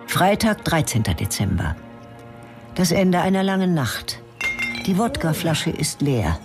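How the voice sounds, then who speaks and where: A woman's voice: on the low side, sing-song, a little slow. A woman narrating a radio drama in a terse, clipped, matter-of-fact way. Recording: studio radio play with music.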